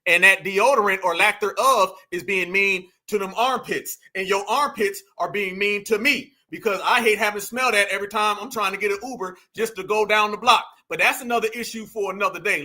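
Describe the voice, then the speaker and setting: Brash, bright-voiced mocking commentator man. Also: high-pitched, brisk, hardly any pauses. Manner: mocking, brash, profane, addresses audience as fam. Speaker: commentary host. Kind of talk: heated reaction commentary.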